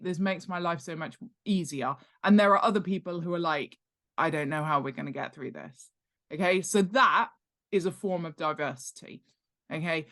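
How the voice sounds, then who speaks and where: A middle-aged British woman talking conversationally as an expert podcast guest, stressing points emphatically by repeating words. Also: fairly low pitch. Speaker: guest, author and world-building expert. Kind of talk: conversational explanation in a podcast interview.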